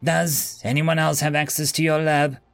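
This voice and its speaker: Casting: a man as an unyielding male interrogator, formal, clipped, slightly raised pitch, dry recording. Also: flat delivery, normal speed, gravelly, dry recording, soft background music. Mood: probing. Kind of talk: acted story dialogue.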